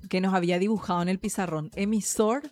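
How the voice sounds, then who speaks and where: Female talk show co-host, medium-pitched voice, casual conversational delivery. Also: fairly even intonation, quick pace, darkish tone. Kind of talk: casual panel chat.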